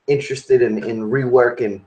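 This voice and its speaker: A man's voice: medium pitch, steady tone, normal speed, a little mellow. A young man hosting a podcast, rambling and restarting as he argues a point in casual chat. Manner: rambling, full of fillers and restarts while arguing a point. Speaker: podcast host. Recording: roomy echo.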